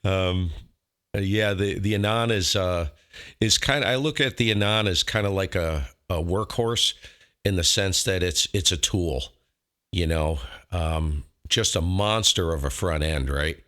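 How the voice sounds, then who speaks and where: A man with a low-pitched voice talks as a ham radio operator hosting his own contacts. He is casual and folksy, rambling along in a self-deprecating way. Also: unhurried, fairly bright tone.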